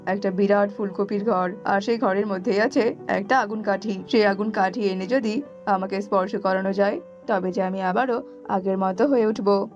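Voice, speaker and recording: A woman voicing an earnest young prince held captive, in an animated storybook tale. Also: mid-range voice, moderate pace, natural voice. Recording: slightly dull audio with background music.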